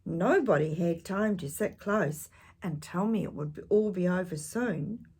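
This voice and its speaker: A woman reading a picture book aloud to young children, expressive and dramatic, with gentle questioning asides. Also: fairly low pitch, unhurried, slightly rough. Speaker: children's storytime reader.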